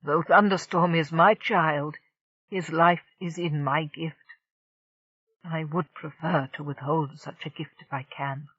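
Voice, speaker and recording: Woman doing a deep, grave alien elder. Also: fairly even intonation, a little slow, a bit bright, husky, no room sound, heavily acted. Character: dignified winged alien elder father. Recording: dull lo-fi dry recording.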